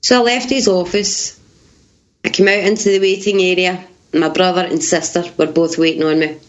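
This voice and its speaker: A woman with a big, loud voice, telling her story in an animated way as an interview guest and laughing as she goes. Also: on the low side, moderate pace, dull audio. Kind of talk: casual storytelling.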